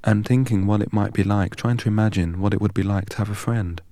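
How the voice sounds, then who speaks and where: Guy from england, really low and steady voice, barely moves in pitch, dry studio sound. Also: no echo. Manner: measured and deliberate, recites long strings of numbers evenly. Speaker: interview guest. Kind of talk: reflective storytelling in an interview.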